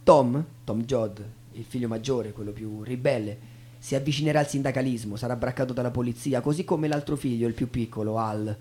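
A man giving a theatrical dramatic reading as a stage narrator, with solemn, measured phrasing. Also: mid-range voice, a little mellow. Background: acoustic guitar music.

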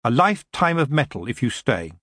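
A man's voice: medium pitch, big pitch swings, fairly quick, a bit bright, no echo. Brief: young witch girl, raised and firm, serious and commanding, as a male narrator puts her on, dry sound. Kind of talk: audiobook character voice.

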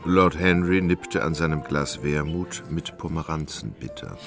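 A man's voice: very deep voice, unhurried, gravelly, music in the background. A man narrating a literary radio drama as a scripted storyteller.

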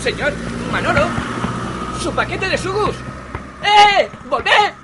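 An actor with an accent from Spain playing a deferential, earnest, philosophising sidekick in a comic radio drama. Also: male voice, extremely high pitch, very animated, takes it slowly, full character voice. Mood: alarmed. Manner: polite, earnest, literal-minded. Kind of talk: comic radio fiction acting. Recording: music and crowd noise behind.

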